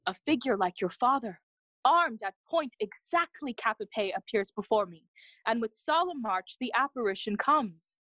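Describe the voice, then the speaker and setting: A woman's voice: a little high, expressive, normal speed, a bit bright, no echo, poor audio. A woman playing a loyal, earnest young friend of a prince in a bilingual Shakespearean audio play, speaking formally. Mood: grave, awed. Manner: earnest, formal, clearly declaimed.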